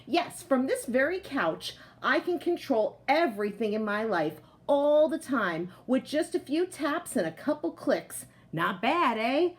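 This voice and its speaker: A woman's voice: high-pitched, sing-song, unhurried, few pauses. A woman voicing a smug, comfort-loving male potato from a picture book, boastful and exclamatory. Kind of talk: picture-book character narration.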